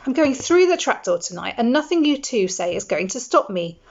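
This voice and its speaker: A woman's voice: on the high side, lively intonation, normal speed, darkish tone, voice pushed up. A woman voicing an earnest, determined schoolboy wizard who speaks urgently, on a dull lo-fi recording. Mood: defiant. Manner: urgent, pressing.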